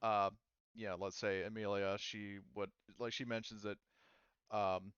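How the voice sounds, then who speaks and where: A man acting as game master, narrating a tabletop game casually and haltingly as he thinks aloud. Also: on the low side, flat delivery, a bit bright, clean tone, no room sound, highs cut off.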